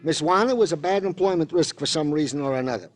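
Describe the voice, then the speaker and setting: An officious junior policeman , acted by a man with a rather high voice. He is earnest, formal and long-winded, plodding through his report in old radio drama style. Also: quick pace, close to an everyday voice. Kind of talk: 1940s-50s American radio drama acting.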